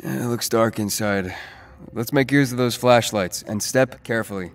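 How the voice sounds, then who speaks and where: A man playing a cautious, practical young guide on a vampire hunt in an audio drama, measured and dry in delivery. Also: medium pitch, a little hiss or hum, close to an everyday voice. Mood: cautious, businesslike. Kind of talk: audio drama acting, explanatory.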